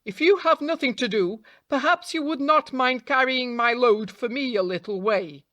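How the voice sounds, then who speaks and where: A shrewd travelling peddler in a fairytale , read by a woman, businesslike in every bargain. The man's lines are pitched a little up and sound dry. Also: moderate pace, a bit bright, dry recording. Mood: courteous.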